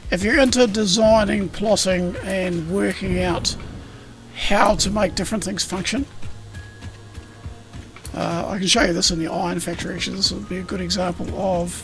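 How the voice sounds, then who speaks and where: A man streaming a factory-building game, explaining it in a rambling way with a slightly croaky voice. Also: high-pitched, steady tone, moderate pace. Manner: rambling, self-correcting, explanatory. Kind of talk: casual gameplay explanation. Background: game music.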